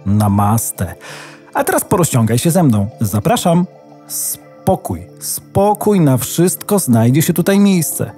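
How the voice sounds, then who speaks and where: Super low, mellow computer memory, bossy yoga guru type, slow and soothing, kids' audio play. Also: female voice, very animated, soft background music, exaggerated voice. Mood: calm, guiding. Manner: soothing, drawn-out, mock-meditative. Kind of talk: children's radio play.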